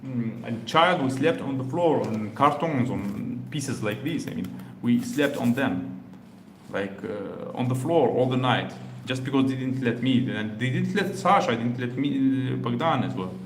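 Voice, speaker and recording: A man's voice: medium pitch, animated, unhurried, reverberant, a little hiss or hum, low-quality recording. A man from Algeria hosting a reaction video, talking casually with many fillers and restarts.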